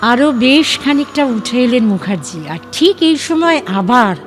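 A woman's voice: on the high side, animated, unhurried. A woman reading dramatic scripted narration for an audio story. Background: faint music.

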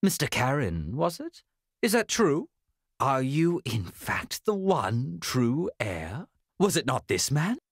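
An actor voicing a smug, menacingly polite financial official, speaking in formal, drawn-out theatrical phrases for a video game. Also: male voice, medium pitch, huge pitch swings, breathy edge, no room sound, clean studio sound, full character voice. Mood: smugly polite.

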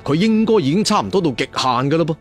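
A man's voice: a little high, lively intonation, fast, husky, faint music behind. A man with a Cantonese accent telling a serial fantasy story theatrically, with conversational asides and emphatic endings. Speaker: storyteller narrator.

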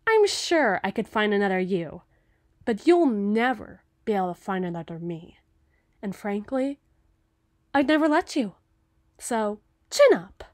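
A woman playing a cruel, possessive evil queen in an ASMR roleplay, haughty and theatrical, with deliberate menace. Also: mid-range voice, very animated, no room sound, studio quality. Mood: smugly threatening.

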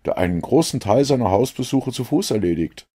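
A man's voice: medium pitch, animated, brisk, warm tone, voice pushed up, lightly acted. A man voicing an eager, self-satisfied detective's companion in an audiobook, earnest and a little smug.

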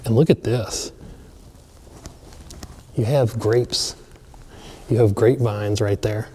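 A man excitedly narrating a walkthrough of an empty mansion, chatting to viewers as he films. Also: on the low side, slow pace, husky. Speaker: abandoned places explorer. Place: large empty mansion, indoors.

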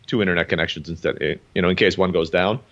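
A man's voice: deep voice, fairly even intonation, very fast, a bit bright. A man in his thirties who grew up in Turkey, a guest telling his story in a chatty way, with lots of "um" and "you know". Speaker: guest entrepreneur. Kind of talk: casual interview talk. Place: home in Mexico. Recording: dull lo-fi call audio.

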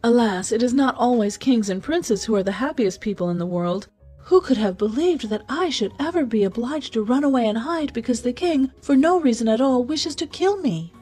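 A woman voicing a gentle, sorrowful young princess in a storybook, speaking earnestly and plaintively. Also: medium pitch, a little fast, few pauses, slightly rough, faint music behind, natural voice.

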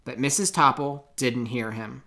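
A man narrating a children's storybook aloud in an expressive, clearly phrased way. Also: mid-range voice, unhurried, slight background noise. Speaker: storybook reader.

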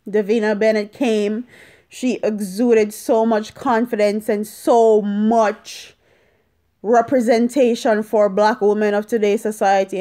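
A young adult woman, talking emphatically and deliberately in a casual opinion vlog, repeating phrases for stress. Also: mid-range voice, mellow. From Jamaica. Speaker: vlogger. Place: small indoor room at home. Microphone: phone at arm's length.

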